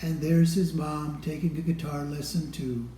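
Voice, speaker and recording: Male storybook reader for children: unhurried, soft-edged tone, slightly high pitch, expressive delivery, roomy sound. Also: a little hiss or hum. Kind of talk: expressive children's picture book read-aloud.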